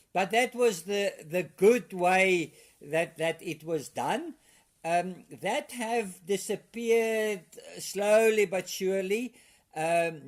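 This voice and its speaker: Man, Afrikaans accent, first language Afrikaans, middle-aged, unhurried, halting with fillers. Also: high voice. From South Africa. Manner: halting, many fillers and restarts. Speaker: guest. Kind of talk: casual interview.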